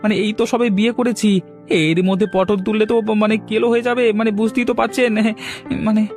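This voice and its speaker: A man voicing an excitable, gullible poor villager in a cartoon, prone to stammering. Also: high-pitched, fast talker, soft background music, natural voice. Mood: nervous, flustered. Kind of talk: cartoon story acting and narrating.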